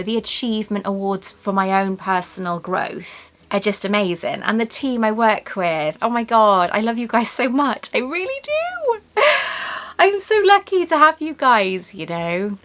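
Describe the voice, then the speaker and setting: Bright-voiced, gushing Welsh woman. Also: medium pitch, animated, unhurried. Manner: rambling, gushing, laughs often. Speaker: YouTube host. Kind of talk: casual chatty unboxing vlog.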